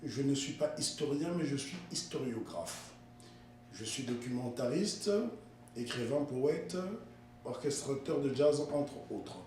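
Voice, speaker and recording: A man from the Republic of the Congo, a guest giving interview answers, talking in a measured, corrective way that gains force when he argues. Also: mid-range voice, a little mellow. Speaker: guest, historiographer and writer. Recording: echoey room, mic far off, faint noise.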